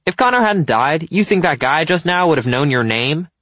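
A man's voice: fairly high pitch, expressive, moderate pace, a bit bright, no echo, voice pushed up. A man voicing a blunt teenage schoolboy in an audiobook, sarcastic and goading.

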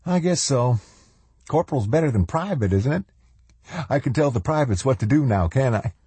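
A man's voice: medium pitch, lively intonation, moderate pace, mellow, husky, dry recording, voice pushed up. A man voicing a cocky, wheedling soldier and mechanic with an insolent manner and a streak of menace, in an audiobook. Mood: smug. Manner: insolent, wheedling, drawling tag questions. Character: cocky noseless wasteland soldier, eager male mechanic with menace.

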